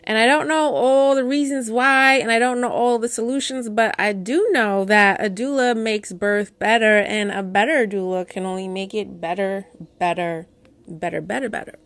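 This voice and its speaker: A middle-aged woman doula who stutters, giving candid, warm advice to new doulas in a casual talk with playful asides. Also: medium pitch, moderate pace, dry recording. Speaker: doula and course teacher.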